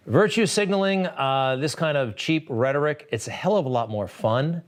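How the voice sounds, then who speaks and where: A man delivering sardonic political opinion commentary, full of rhetorical questions. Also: medium pitch.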